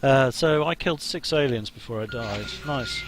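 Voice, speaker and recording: A man, a game developer, chatting hesitantly on a live stream while playing, somewhat hoarse as he loses his voice. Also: mid-range voice, moderate pace, very noisy. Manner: hesitant, many ums and restarts. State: losing his voice, coughing. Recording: music playing behind.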